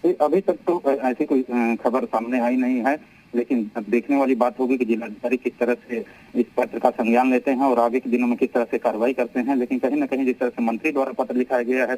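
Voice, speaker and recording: Male field correspondent, mid-pitched voice, reporting live and unscripted with hesitant repetitions. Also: steady tone, fairly quick, a little mellow, poor audio. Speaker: news correspondent.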